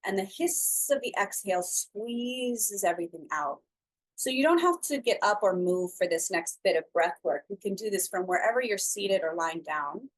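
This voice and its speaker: A woman instructor leading a slow guided breathing meditation, speaking softly and soothingly with long pauses between phrases. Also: mid-range voice, low-quality recording.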